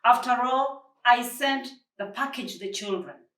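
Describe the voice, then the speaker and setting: A woman's voice: on the high side, unhurried, fairly bright tone, voice pushed up. An indignant, protective storybook uncle, voiced by a woman. The words come out emphatic and protesting, in an echoey room slightly away from the microphone. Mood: challenging. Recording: roomy, distant microphone.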